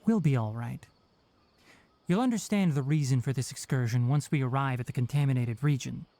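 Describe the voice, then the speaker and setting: A man voicing a calm young forest ranger and mentor in a video game, precise and matter-of-fact as he explains things. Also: mid-range voice, a little fast, dry recording, close to an everyday voice. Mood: dry, matter-of-fact.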